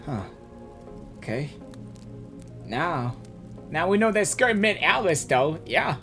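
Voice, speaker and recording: A streamer reacts casually to a story game and reads bits of dialogue aloud. The pitch is middling but moves around a lot. Faint game music plays behind. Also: sing-song, slow, fairly bright tone.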